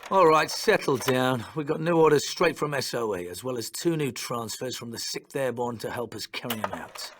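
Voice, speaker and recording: A commanding British officer, played by a male game actor, briefs his commandos in a clipped, matter-of-fact way. His voice is mid-pitched, and clear noise sits behind it. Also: expressive, normal speed, hardly any pauses, lightly acted. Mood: brisk, businesslike. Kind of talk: scripted military briefing in a war game.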